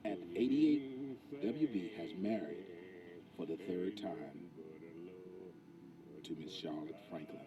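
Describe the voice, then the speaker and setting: A man's voice: low voice, slow pace, long pauses. An American man narrating a scripted television news feature as a reporter.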